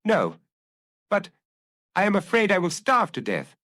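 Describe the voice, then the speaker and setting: Guy doing a scared beaten-down crook in a detective audiobook, dull n halting, desperate, voice pushed up. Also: sits high, huge pitch swings, fairly bright tone, no echo, clean studio sound, voice pushed up, exaggerated voice. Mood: pleading. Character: dejected, fearful murderer selling his secret. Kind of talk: audiobook character acting.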